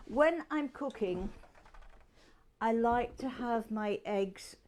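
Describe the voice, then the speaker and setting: A woman's voice: medium pitch, a little mellow, slight background noise. An older woman with a Yorkshire accent, hosting a casual cooking demonstration in her home kitchen, chatting with rambling asides as she works. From England. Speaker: cooking show host.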